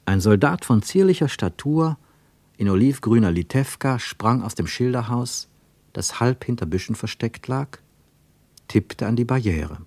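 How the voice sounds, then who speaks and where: A man narrating a literary radio travelogue in a measured way, with dramatic weight on single words. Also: mid-range voice, animated, a little mellow, dry recording.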